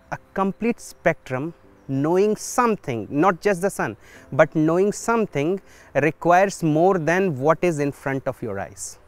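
A man, speaking in a thoughtful, measured way with pauses, recorded outdoors in a garden on a lapel mic. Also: a little high. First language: Hindi. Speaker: solar physics researcher. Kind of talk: documentary interview.

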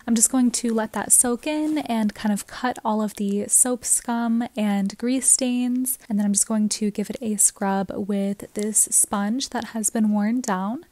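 Mellow young woman narrating a vlog. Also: medium pitch, no room sound. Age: young adult. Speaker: home cleaning vlogger. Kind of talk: scripted-sounding vlog voice-over narration.

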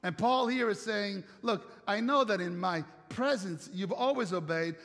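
An Italian-accented pastor in middle age gives a sermon from the stage of a church sanctuary. His voice sits high, and his delivery is conversational, full of rhetorical questions and light jokes. The sound trails off in a long church reverb. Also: measured pace, fairly bright tone. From Italy.

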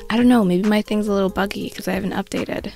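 A woman's voice: medium pitch, fairly even intonation, fast, creaky, a little mellow, faint music behind. A young woman with a stuffy, congested voice, talking casually through an art tutorial voice-over with self-mocking asides. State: congested from a cold.

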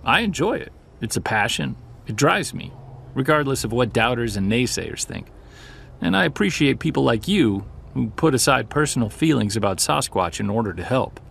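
A man acting a seasoned, driven investigator in an audio drama, earnest and measured, with a gravelly, husky voice. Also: medium pitch, sing-song, fairly bright tone, slight background noise. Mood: reflective, resolute. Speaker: voice actor. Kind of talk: naturalistic audio drama dialogue.

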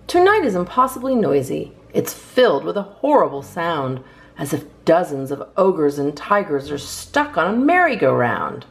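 Low, animated woman reading children's poems. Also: normal speed, creaky. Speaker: storytime host reading a picture book. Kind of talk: expressive read-aloud of children's poems.

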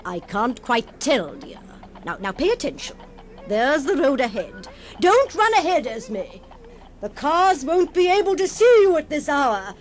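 Imperious, high-voiced baroness. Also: female voice, big pitch swings, crowd noise. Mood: drily unruffled. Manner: haughty, brisk commands, dry understatement. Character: imperious, unflappable aristocratic baroness, drily exasperated. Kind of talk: radio drama acting and wry first-person narration.

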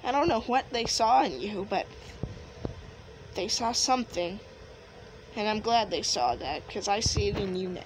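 A woman playing a gentle, reminiscing mother in an improvised game roleplay, telling her story haltingly. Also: mid-range voice, expressive, noisy background, lightly acted. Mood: tender. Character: gentle, reminiscing mother of a young dragon tamer.

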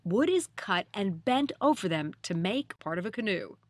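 A woman's voice: mid-range voice, sing-song, moderate pace. A woman reading scripted narration with a wry storytelling touch. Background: background music.

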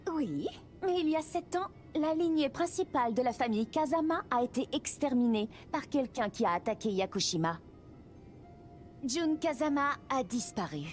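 A poised, composed young woman, with a high voice. She speaks formally and calmly. Soft music plays behind. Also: unhurried.

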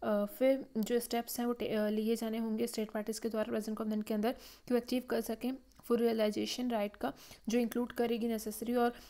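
A woman's voice: on the high side, flat delivery, a little fast, warm tone. A woman giving a slide lecture on a legal text, reading through it with frequent "uh" fillers and restarts, mixing in English legal terms. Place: voice-over on slides.

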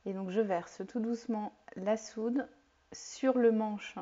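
A woman in her forties explaining soap making step by step in a home kitchen, with a clear, calm teaching manner and a few fillers. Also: a little high, takes it slowly. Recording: slightly dull lo-fi sound.

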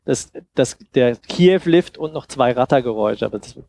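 A man in his thirties giving an informal demonstration talk into a headset mic, explaining haltingly and thinking aloud. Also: medium pitch, animated, warm tone. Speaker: conference speaker on sound design. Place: seated on a sofa in a festival room. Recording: clear background noise and ambience.

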